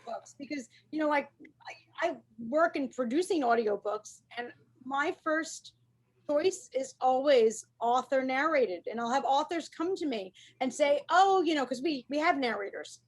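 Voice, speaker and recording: Woman host, high voice, upbeat, casual chat. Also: expressive, a little slow, poor audio.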